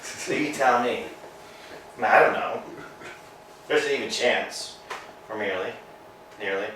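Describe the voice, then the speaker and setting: An actor playing a weary, hungry male tramp in a staged play reading, bickering theatrically and swinging from loud to soft. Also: fairly low pitch, unhurried, lightly acted. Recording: echoey room, from a distance, faint noise.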